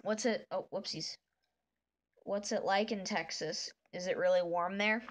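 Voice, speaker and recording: A woman streaming live, chatting with viewers in a soft, meandering way while she draws, with a voice that is deep for a woman. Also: fairly even intonation, measured pace. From northern United States. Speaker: livestreamer. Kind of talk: casual chat while drawing on a livestream.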